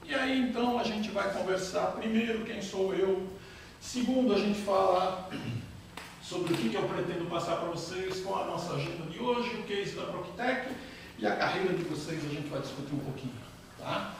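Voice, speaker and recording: A man's voice: high-pitched, moderate pace, creaky. Man lecturing in an echoey room. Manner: animated, questions the audience directly. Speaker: guest speaker. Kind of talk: interactive lecture. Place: large brick-walled lounge with an audience. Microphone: far camera, no mic. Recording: echoey room from far off, noisy.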